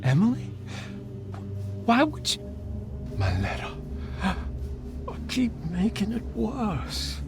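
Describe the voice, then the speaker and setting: A haunted, paranoid man in a horror video game. His creaky voice swings dramatically, fearful and halting, theatrical in its torment, over prominent music. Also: high-pitched, wildly swooping pitch, heavily acted. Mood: anguished. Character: tormented, paranoid man bound by a dark pact. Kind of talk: video game cutscene acting.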